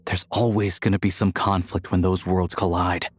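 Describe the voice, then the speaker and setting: An actor playing a level-headed, earnest young man in a video game, explaining things in a measured way. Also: low-pitched, level tone, husky, no room sound.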